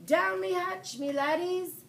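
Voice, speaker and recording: A woman's voice: lively intonation, unhurried. A woman reading a storybook aloud, voicing a blustering male pirate captain who bellows his lines. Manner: bellowing, booming exclamations. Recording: distant mic, faint background noise.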